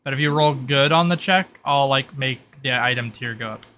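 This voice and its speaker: A man with a bright, forward voice at a middle pitch runs a tabletop game. He casually explains rules and rulings to his players, with slight noise on the recording. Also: normal speed. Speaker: game master.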